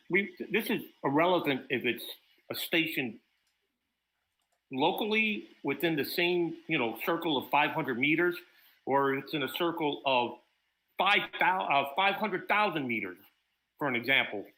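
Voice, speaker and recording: Bright, forceful man leading a discussion. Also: on the high side, rough recording. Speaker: host of an amateur radio contesting roundtable. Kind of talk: unscripted livestream discussion.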